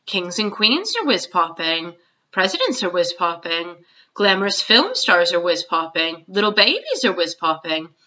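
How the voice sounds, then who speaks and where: A woman's voice: lowish voice, big pitch swings, a little slow, bright, forceful tone, dull audio, exaggerated voice. A woman voicing a polite, inquisitive young girl from a storybook in a matter-of-fact way. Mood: explaining, slightly embarrassed.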